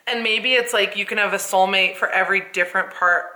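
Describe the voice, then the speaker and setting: Bright-voiced chatty woman in her thirties. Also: medium pitch, steady tone, brisk. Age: 30s. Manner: chatty, upbeat, lots of "like". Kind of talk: casual chat.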